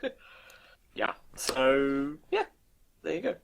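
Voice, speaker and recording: A man's voice: a little high, huge pitch swings, extremely slow, creaky, fairly bright tone, a little hiss or hum. A man co-hosting a casual, unscripted gaming podcast, informal and jokey, with loose language. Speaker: co-host presenting video game news.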